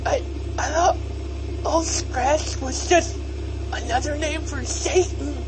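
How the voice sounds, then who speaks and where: A man's voice: very high voice, takes it slowly. A man playing a whiny, hapless young devil-worshipper in an audio comedy drama, theatrical and stammering, with vehicle noise behind. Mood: bewildered, tearful.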